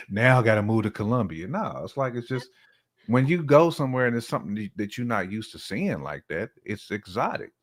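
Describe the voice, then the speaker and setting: A man from the United States talking casually on a discussion show, with informal slang and frequent checks for agreement. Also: on the low side. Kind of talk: casual chat.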